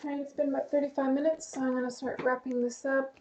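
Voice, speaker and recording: A woman streamer talks to her viewers in a high, mellow voice with some vocal fry. Her delivery stays level and relaxed as she rambles through plans and reads chat. Faint noise sits under her voice. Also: fast talker. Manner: relaxed, rambling, chatty with light laughs. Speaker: live streamer. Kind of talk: casual chat to viewers, reading chat aloud.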